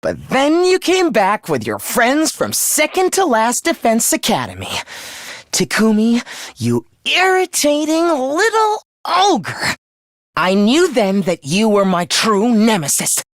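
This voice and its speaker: A man's voice: sits high, huge pitch swings, a little slow, no room sound, studio quality, heavily acted. A man playing a contemptuous, human-hating young villain in a video game, theatrical and scheming in his delivery. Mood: seething loathing. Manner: theatrical, contemptuous, swinging from hushed menace to shrieking. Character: young male traitor, genocidal, gloating, human-hating schemer. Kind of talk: video game voice acting, villain confession monologue.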